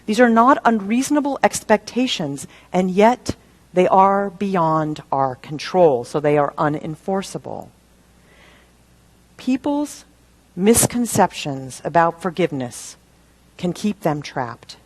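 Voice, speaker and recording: A woman minister delivering a sermon in a measured, deliberate way, using rhetorical questions and repetition for emphasis. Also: on the low side, expressive. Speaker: minister preaching.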